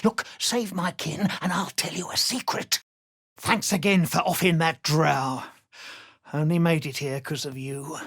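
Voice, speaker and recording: A man playing a desperate, hot-tempered gnome captive and explosives expert in a video game, urgent and rough-spoken. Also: fairly high pitch, fairly even intonation, unhurried, fairly bright tone, dry recording, clean studio sound, exaggerated voice. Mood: pleading. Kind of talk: video game character voice lines.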